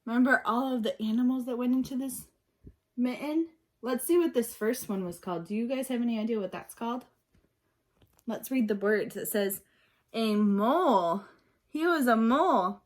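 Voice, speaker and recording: A woman reading a picture book aloud like a teacher, expressively, pausing to ask and explain things simply for young children. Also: a little high. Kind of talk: read-aloud storytelling mixed with simple questions and explanations.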